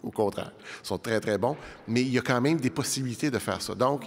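Animated middle-aged man debating in a hall. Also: mid-range voice, gravelly. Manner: animated, gesturing, conversational with quick asides. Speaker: debate panelist. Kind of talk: televised panel debate. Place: large studio hall with audience. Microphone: desk microphone. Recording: long reverb of a large hall.

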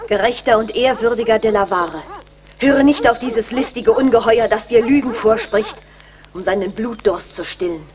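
A woman's voice: on the high side, moderate pace, crowd noise, close to an everyday voice. An actress playing a brave young captive woman in a German radio play, speaking in an earnest, formal, imploring manner. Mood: pleading. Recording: faint background noise.

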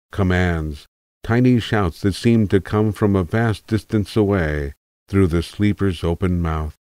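A man's voice: low-pitched, a little mellow, no room sound. A man reading scripted literary narration as a storyteller.

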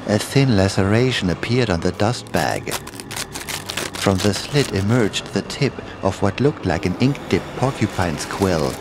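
A man's voice: low-pitched, very animated, a little slow, noisy background. A man reading a scripted first-person horror story as its narrator. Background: music behind the voice.

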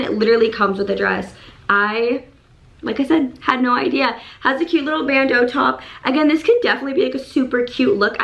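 A woman in her thirties with a hoarse voice, chatting casually to camera in her bedroom as she unboxes and shows off dresses, gushing and full of fillers. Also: a little high, fairly bright tone, highs cut off. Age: 30s. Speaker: beauty and fashion YouTuber. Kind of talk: casual try-on haul chat. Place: bedroom indoors.

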